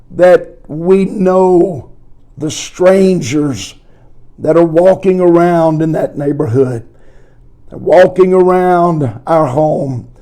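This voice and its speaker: A man with a hoarse, scratchy, weak voice, teaching deliberately as a pastor on a podcast and repeating phrases for emphasis. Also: on the high side, mellow. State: hoarse, strained from preaching. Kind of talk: slow teaching talk on family life.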